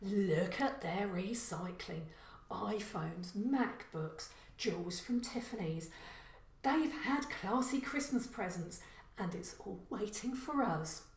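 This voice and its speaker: A woman's voice: mid-range voice, animated, takes it slowly, a little hiss or hum. Burglar father, middle-aged female reader: burly, blunt, sure of himself, instructing, crime audiobook, reverberant room. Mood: brisk, instructing.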